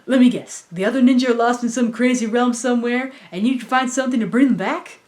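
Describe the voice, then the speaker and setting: A woman's voice: fairly high pitch, fast, a little mellow, voice pushed up, lightly acted. A woman voicing an exuberant, bumbling, self-important man who fancies himself a ninja, in a cartoon. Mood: cocky. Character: boisterous, boastful male karaoke club owner, self-styled ninja.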